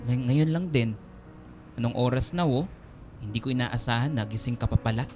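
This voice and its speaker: A man's voice: medium pitch, fairly quick, darkish tone, soft background music, close to an everyday voice. A man playing a devoted, caring young Filipino man in a radio drama, earnest and polite. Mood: soft, tender. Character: devoted, caring young male nurse, respectful but firm.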